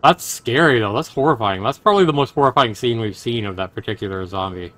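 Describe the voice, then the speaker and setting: A man's voice: mid-range voice, fast, a bit bright. A man livestreaming a game, mixing commentary with dramatic reading aloud as host. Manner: profane, exclamatory reactions.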